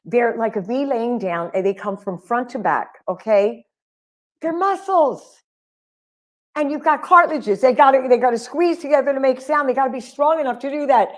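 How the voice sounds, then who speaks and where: Animated woman vocal coach, high voice. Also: normal speed. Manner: animated, emphatic, calls listeners honey. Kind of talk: live stream talk.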